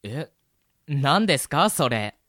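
A man's voice: mid-range voice, big pitch swings, takes it slowly, bright, forceful tone, no room sound, studio quality, full character voice. A man playing a modest, polite and agreeable train hand strap in a comic radio drama. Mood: polite, curious.

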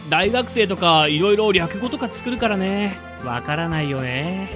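Brief: male actor for an excitable, gossipy hand strap in comic radio drama; high voice, swooping intonation. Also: unhurried, over music. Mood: eager, gossipy. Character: friendly chatty male train hand strap, excitable senior colleague.

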